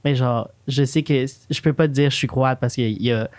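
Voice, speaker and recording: A woman's voice: rumbling low, brisk, a little mellow. A young woman from the Montreal area with a Quebec French accent, co-hosting a casual podcast in slangy French mixed with English. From Montreal area, Quebec, of Chilean parents. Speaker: podcast co-host. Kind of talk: casual conversational podcast chat.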